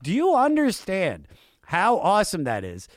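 Man, American, podcast host, animated pitch swings, enthusiastic, dry studio sound. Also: normal speed, dry recording. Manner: enthusiastic, emphatic. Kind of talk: casual music talk.

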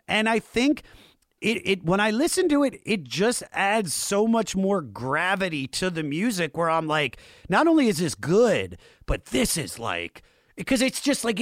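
A man's voice: high-pitched, no room sound, studio quality. A man hosting a casual music podcast, talking informally and with enthusiasm. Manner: informal, enthusiastic, uses slang and swearing. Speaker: podcast host.

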